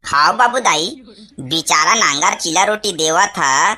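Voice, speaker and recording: Woman doing a bright-voiced, silly village boy. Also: fairly low pitch, lively intonation, a little slow. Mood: pleading. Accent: Chhattisgarhi. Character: earnest, silly village boy, pestering his parents. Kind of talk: comedy cartoon dialogue.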